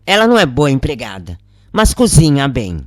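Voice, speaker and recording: A woman's voice: a bass voice, huge pitch swings, moderate pace, no room sound, clean studio sound, full character voice. A woman with a Brazilian accent voicing a cruel, haughty lady of the house, mocking, in an audio story. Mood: mocking contempt. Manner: scornful, mocking.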